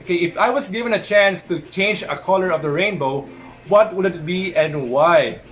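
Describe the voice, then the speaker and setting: A man's voice: high voice, a bit bright. A young man answering fan questions in a casual live-stream chat, earnest and switching between English and Tagalog as he talks. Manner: says he stutters when his thoughts run fast.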